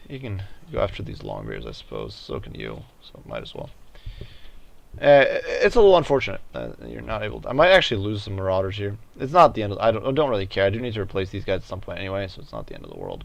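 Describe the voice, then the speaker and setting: A man giving casual commentary over a strategy game, thinking out loud in a hesitant, halting way. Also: fairly low pitch, vocal fry, muffled recording. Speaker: gaming video host.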